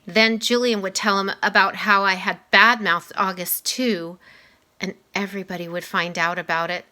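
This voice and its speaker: A woman reads a children's novel aloud in a bright voice of middling pitch. Her delivery is that of an expressive storyteller, narrating the action. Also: moderate pace. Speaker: reader.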